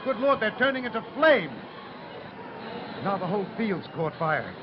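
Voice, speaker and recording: High-pitched, terrified 1930s radio reporter. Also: male voice, big pitch swings, moderate pace. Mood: horrified. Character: terrified on-the-spot radio reporter witnessing an invasion. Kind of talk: 1930s radio eyewitness commentary acting. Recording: muffled old broadcast, outdoor noise.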